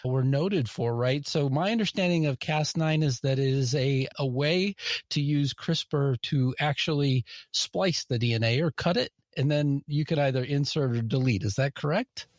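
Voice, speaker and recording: A man's voice: medium pitch, moderate pace, dry recording, clean studio sound. A man hosting a podcast, asking questions with hesitant fillers and restarts. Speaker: podcast host. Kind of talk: interview questions.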